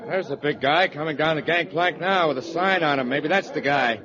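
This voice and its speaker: An actor playing a helpful, plain-spoken dock guard in 1940s radio drama style. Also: male voice, a little high, moderate pace, a crowd in the background, natural voice. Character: helpful, businesslike male dockside guard. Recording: dull vintage radio recording, music behind.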